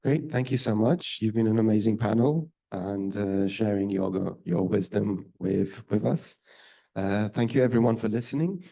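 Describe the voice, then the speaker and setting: A man's voice: fairly low pitch, normal speed, gravelly, dark tone, poor audio. A man moderating a conference panel, summing up questions briefly and thanking speakers politely. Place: conference hall.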